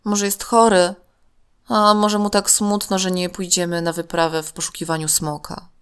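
A woman's voice: on the low side, little pitch movement, normal speed, fairly bright tone, clean tone, lightly acted. A woman voicing a caring, outspoken little girl in a children's story, direct and emotive. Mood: concerned.

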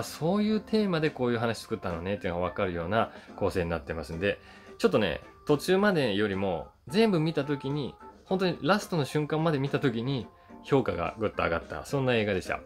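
A man in his forties chatting casually to camera as he reviews a film, conversational and emphatic. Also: medium pitch. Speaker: YouTube host reviewing films, voice actor. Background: background guitar music.

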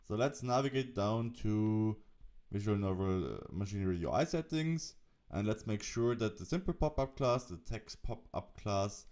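A man narrating an instructional software tutorial, explaining steps as the presenter. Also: lowish voice.

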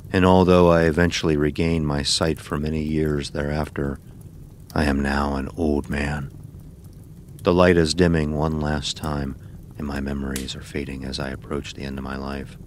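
An actor playing a weary old man narrating his dark memoirs in an audio drama, solemn and measured. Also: extremely low pitch, little pitch movement, a little hiss or hum. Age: older.

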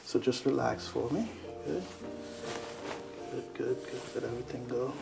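A man's voice: medium pitch, normal speed, gravelly, loud music behind the voice, poor audio. Reassuring man, a chiropractor at work. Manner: reassuring, checking in often. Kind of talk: casual talk while treating a patient. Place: small chiropractic treatment room indoors.

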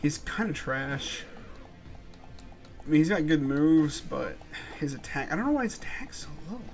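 A young man with a stuffy, slightly congested voice, casually commentating on a game as he plays. His pitch sits a little high and swings a lot, and he mutters and swears when things go wrong. Faint game music plays behind him. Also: very animated, moderate pace. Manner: laid-back, swearing, frustrated muttering. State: stuffy, sore throat. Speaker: gaming channel host. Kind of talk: casual let's-play commentary.

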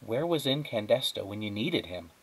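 Animated man reading a storybook. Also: lowish voice, moderate pace, breathy edge, no room sound, poor audio. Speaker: teacher reading aloud. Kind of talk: children's storybook narration. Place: indoors at a wooden table.

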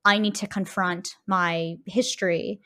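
A woman's voice: mid-range voice, fairly even intonation, measured pace, sharp tone. A woman co-hosting a podcast, thinking aloud informally as she analyses in casual discussion. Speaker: podcast host.

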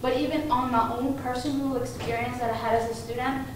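A woman's voice: on the high side, flat delivery, brisk. A young woman from Mexico whose first language is Spanish gives an informal conference talk with frequent fillers and restarts, standing in a conference room. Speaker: conference presenter. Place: conference room with projector screen. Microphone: room mic heard from a distance. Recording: echoey room, distant mic, background noise.

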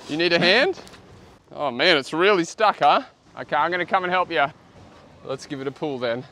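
A man in his thirties with an Australian accent, chatting casually and excitably as he hosts an outdoor vlog. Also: a little high, moderate pace. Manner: excitable, exclaiming. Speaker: host of a magnet fishing vlog. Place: outdoors beside a canal. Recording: outdoor noise, traffic and ambience.

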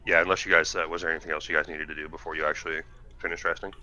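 A man running a tabletop game as game master, recapping in a loose, halting way with many fillers. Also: extremely low pitch, flat delivery, sharp tone. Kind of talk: casual tabletop game session over voice chat. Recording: dull lo-fi voice chat with faint hiss.

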